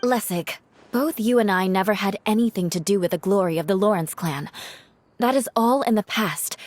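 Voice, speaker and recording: Composed young noblewoman played by an actress, mid-pitched, pointed and measured, proud game cutscene role. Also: natural voice. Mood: calm, sincere. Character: cool, proud young noblewoman, firm but fair. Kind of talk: video game cutscene acting.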